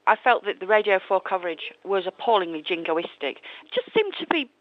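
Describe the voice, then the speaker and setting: A woman's voice: mid-range voice, very animated, brisk. A woman calling in as a listener with a critical, indignant manner. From Essex, England. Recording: thin telephone line.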